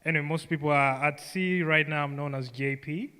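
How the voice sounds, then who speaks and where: A man's voice: fairly high pitch, a little fast, bright, forceful tone, smooth. Ugandan man speaking in a reverberant hall. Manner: conversational storytelling with frequent "you know" and "like". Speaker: programme graduate giving a speech. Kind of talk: informal personal speech to an audience. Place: event hall, live on stage.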